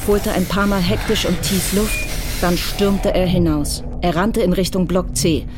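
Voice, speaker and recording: A woman narrating a radio crime drama in a measured, even storytelling voice. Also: on the low side, over loud music.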